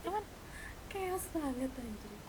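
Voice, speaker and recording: A woman with a high, very animated voice, talking at an unhurried pace. She chats in slang like an excitable streamer among friends, with clear noise behind her. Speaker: streamer and roleplay gamer. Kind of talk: casual gameplay chat with friends. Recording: noisy game voice chat.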